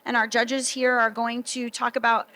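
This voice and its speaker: A woman's voice: on the high side, little pitch movement, normal speed, pushed and bright. A middle-aged woman hosting a panel at an event, presenting in a casual, conversational way. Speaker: host introducing judges. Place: booth at a trade show.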